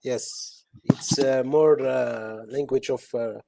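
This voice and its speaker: A woman's voice: very deep voice, steady tone, slow, creaky, dark tone, no room sound. A woman speaking hesitantly in a casual chat, searching for words with many fillers. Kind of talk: casual live-stream chat.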